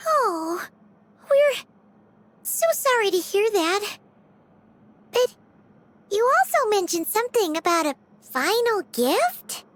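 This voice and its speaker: A woman voicing a tiny, excitable, childlike female companion in a video game, squeaky, exclamatory and inquisitive. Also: slow, pauses often, no room sound, heavily acted. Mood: concerned. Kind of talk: scripted video game voice acting.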